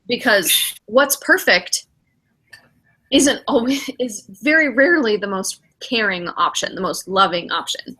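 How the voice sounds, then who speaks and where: A woman's voice: medium pitch, lively intonation, unhurried, sharp tone, slightly rough, rough recording. A middle-aged woman, a spiritual director hosting a casual podcast chat, reflective and acting out her own inner thoughts as she talks.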